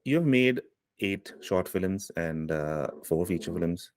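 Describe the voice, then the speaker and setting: A man's voice: deep voice, animated, slow. A man interviewing a filmmaker and asking long, hesitant questions full of fillers, often ending with "right?".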